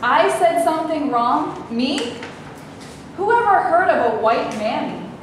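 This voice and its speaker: A woman's voice: high-pitched, sing-song, a little slow. A woman playing a haughty, tactless bit-part actress in a staged play reading, snide and brash. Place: indoor room, live staged reading. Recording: distant mic, echoey noisy room.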